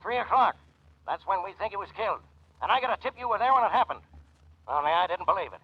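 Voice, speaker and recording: A gruff, suspicious police detective in old radio drama style. His pitched-up voice swoops in indignation, with a raspy edge, on a muffled vintage broadcast. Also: lively intonation, fast talker. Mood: indignant, accusing. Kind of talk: 1940s radio drama acting.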